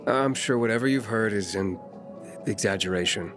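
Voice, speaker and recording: A man's voice: fairly low pitch, moderate pace, over music, close to an everyday voice. A man playing a young wandering pilgrim in a video game, talking in a casual, dry way. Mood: skeptical. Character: Aiden, young male wandering pilgrim, wry and dogged.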